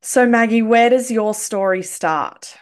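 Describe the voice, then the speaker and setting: An Australian woman hosting a podcast, reading a gentle, earnest scripted welcome and then chatting casually with her guest. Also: on the high side, measured pace. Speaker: podcast host. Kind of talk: scripted intro then casual interview chat.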